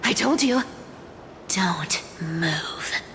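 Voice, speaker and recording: A woman's voice: lowish voice, lively intonation, drawn-out words, a bit bright, slight background noise, exaggerated voice. A woman playing a sly, bossy, playful treasure-hunting thief in a video game, teasing, breezy and dramatic. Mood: urgent, hushed. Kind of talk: video game character acting.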